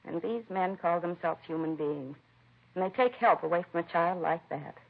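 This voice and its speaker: A woman's voice: on the low side, moderate pace, vocal fry. An actress playing an earnest, indignant woman who runs a children's home in a 1950s radio drama, plain-spoken and heartfelt. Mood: bitter, indignant. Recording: dull vintage radio recording.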